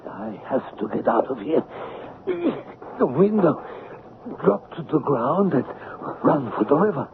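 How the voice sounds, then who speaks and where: Despairing, slow-spoken station chief. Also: male voice, on the high side, big pitch swings, vocal fry, noisy background, full character voice. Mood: panicked. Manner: mournful, indignant, nervous. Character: despairing, frightened, self-important station chief wasting away. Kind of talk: 1970s radio drama acting. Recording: tinny old radio broadcast.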